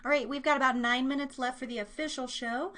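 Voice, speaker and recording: A woman's voice: sits high, steady tone, quick pace, sharp tone. A woman hosting a live show in a casual, informal and rambling way, thinking aloud as she goes. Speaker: podcast and live stream host.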